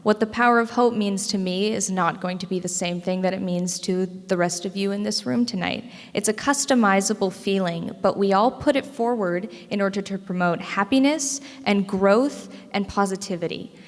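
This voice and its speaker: Teen female student speaker, American accent, mid-pitched, presenting formally to a meeting in a reverberant hall. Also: moderate pace, few pauses. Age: teens to young adult, high school student. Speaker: parade court student speaker. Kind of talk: prepared public speech. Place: indoor school meeting room. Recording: echoey hall with long reverb.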